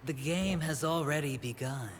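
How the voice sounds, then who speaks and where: A man's voice: a little high, normal speed, lightly acted. A man voicing a suave, scheming gambler-businessman in a video game, teasing and theatrically polite with playful menace. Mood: sly, menacing. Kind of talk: dramatic video game dialogue. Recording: echoey reverb under loud game music.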